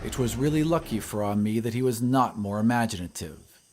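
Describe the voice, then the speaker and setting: A man reading a scripted horror story in a measured, literary storytelling manner. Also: lowish voice, noisy background. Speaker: audiobook narrator. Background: ambient sound effects.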